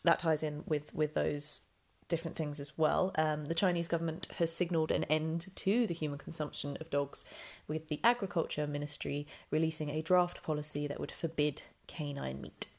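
A woman's voice: sits low, steady tone, moderate pace, gravelly, a little mellow. A young woman hosting a casual solo podcast, chatty and rambling with lots of ums, sounding slightly nasal and snuffly. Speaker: podcast host.

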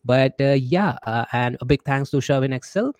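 A man's voice: medium pitch, normal speed, darkish tone, dry recording. A man with an Indian accent hosting a casual interview, chatty, often repeating his words and acting out the messages he quotes. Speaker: podcast host.

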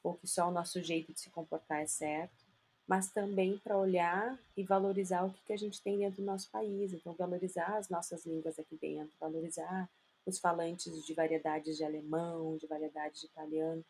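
A woman's voice: on the low side, level tone, a little fast, a little mellow, slight background noise, low-quality recording. A woman from Brazil, a guest explaining bilingual education earnestly in a casual interview, with frequent "né?" tags. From southern Brazil.

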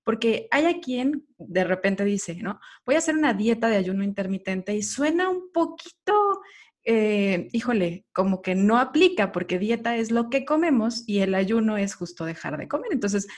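Woman host, interviewing a guest, measured and appreciative. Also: medium pitch, expressive. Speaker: podcast host. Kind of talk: interview hosting.

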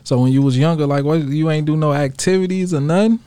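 A man's voice: a little high, quick pace, mellow, no room sound. Slangy American man, dry studio sound. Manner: slangy, informal questioning. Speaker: radio interview host. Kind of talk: casual interview chat.

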